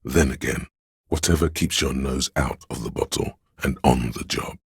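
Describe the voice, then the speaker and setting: Very deep gravelly game narrator. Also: male voice, normal speed, no room sound, clean studio sound, full character voice. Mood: grave, measured. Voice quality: gravelly, husky. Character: grave all-knowing inner voice narrating a detective's world. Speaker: voice actor narrating a video game. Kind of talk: scripted dramatic narration.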